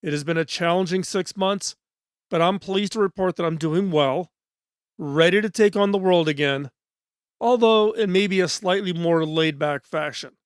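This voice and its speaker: A middle-aged man hosting a podcast in a studio. He talks in an earnest, measured, scripted way, and his voice is hoarse. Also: high voice, no echo. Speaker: podcast host.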